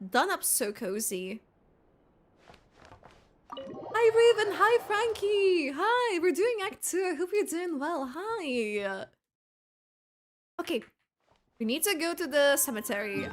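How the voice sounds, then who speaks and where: High voice gal streaming a game, chatty, talks to chat n herself, quiet music behind. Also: female voice. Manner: chatty, thinks aloud, greets viewers. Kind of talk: casual livestream gameplay commentary.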